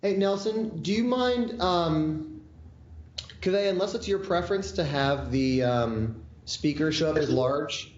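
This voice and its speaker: A man's voice: on the high side, a little slow, highs cut off. A man giving tech help on a video call, chatty and joking as he explains settings. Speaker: classroom tech support. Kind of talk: casual video call chat. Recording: roomy, noisy video call audio.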